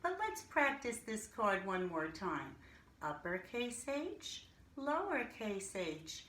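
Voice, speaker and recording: A woman teacher leading an interactive alphabet lesson for young children, speaking deliberately in a sing-song way and addressing pupils directly with pauses. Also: mid-range voice, very animated, fairly bright tone. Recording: echoey room with light background noise.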